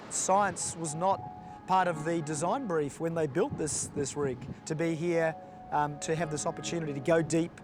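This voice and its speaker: A man who works as a university researcher, talking enthusiastically about his fieldwork. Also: fairly high pitch. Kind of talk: casual interview to camera. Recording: music under the voice. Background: music.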